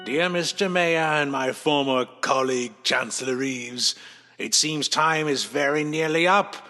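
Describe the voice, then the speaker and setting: A man's voice: a little high, unhurried, sharp tone, big-room sound, soft background music. A man playing a mad, vengeful, greedy professor villain in a superhero radio drama, theatrically ranting and gloating. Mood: menacing gloating. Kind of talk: old-time superhero radio drama acting.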